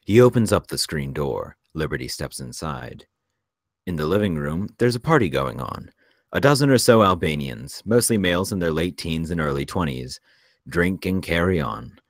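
A man narrates a screenplay in a very deep voice. He reads out scene and action directions as scripted narration. The sound is dry, with little room. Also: lively intonation, moderate pace, dry recording. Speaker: narrator reading stage directions.

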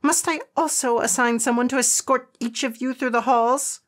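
A man's voice: extremely high pitch, steady tone, moderate pace, fairly bright tone, pitched above the performer's own voice. A man voicing a stern, disapproving woman school principal with a prim, snappish manner in an audiobook.

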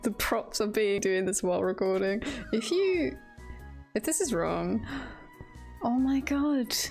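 Slow-talking woman streaming a game, crackly voice. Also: fairly high pitch, gravelly. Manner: chatty, self-talking, often repeats phrases. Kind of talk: casual live game commentary, thinking aloud.

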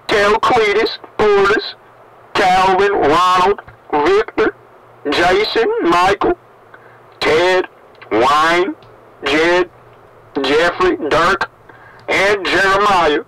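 A man's voice: sits high, very animated, takes it slowly, pauses often, a crowd in the background, heavily acted. A man playing a distraught, furious father in a found-footage horror monologue, bitter and accusing, on a crackly old cassette tape.

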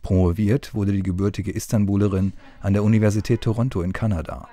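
Deep, mellow male narrator. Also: quick pace. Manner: even, formal reading. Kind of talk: scripted narration.

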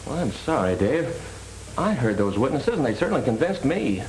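Brief: male actor as loyal, doubting American pal, medium pitch, plain-spoken, roomy 1930s film sound. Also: very animated, moderate pace, a little mellow, slight background noise, lightly acted. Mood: sympathetic, reluctant.

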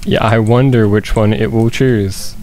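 A young man chats casually on a game stream with a mellow, unhurried voice. He jokes and swears as he plays, close on the mic, with faint music behind him. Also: fairly low pitch, fairly even intonation. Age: young adult. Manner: joking, swearing. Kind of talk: casual gaming stream chat. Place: small room indoors at home. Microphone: close boom-arm microphone, headset on.

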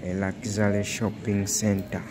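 A man vlogging a walking tour of a large indoor shopping mall, slowly naming the brands one by one as he films on his phone. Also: lowish voice, flat delivery, music in the background. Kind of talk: walking tour vlog narration.

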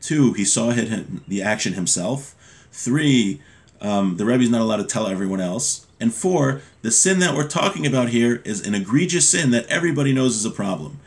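Male teacher, 30s, middle-pitched, explanatory and informal, reading a text and talking it through at a desk at home. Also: expressive, moderate pace. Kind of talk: informal lesson, reading Hebrew text aloud and explaining it in English. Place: small home room with bookshelves, indoors.

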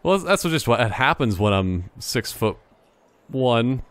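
A man hosting a gameplay video with casual, joking commentary and goofy deadpan asides. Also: mid-range voice, takes it slowly, no room sound. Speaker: gaming video host.

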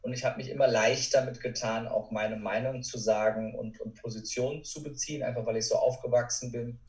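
Man, medium pitch, earnest and reflective, emphatic repetition, podcast guest, roomy distant sound. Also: fairly even intonation, brisk, a little mellow. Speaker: podcast guest, courage expert. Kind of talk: reflective conversational interview answers.

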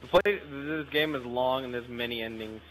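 A man's voice: mid-range voice, moderate pace, a bit bright, low-quality recording. A young man chatting casually as a co-op gaming partner, explaining the game in an offhand way. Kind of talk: casual gameplay chat. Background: game music.

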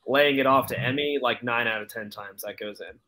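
A man's voice: medium pitch, a little fast, sharp tone, low-quality recording. A man chatting casually about soccer as a podcast panelist on a video call. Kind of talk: casual chat.

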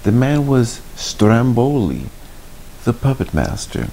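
A man's voice: mid-range voice, very animated, slow pace, creaky, dark tone. A man in his thirties reading a picture book expressively to children, with asides to the listeners. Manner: slips in asides and questions to young listeners. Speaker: children's story reader, host. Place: small indoor room. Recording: noticeable background noise.

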